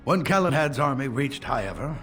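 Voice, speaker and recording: An actor playing an elderly, learned castle tutor in a video game, stern and fussy, lecturing theatrically. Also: male voice, medium pitch, very animated, fairly quick, fairly bright tone, faint music behind. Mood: pleased, lecturing. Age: older.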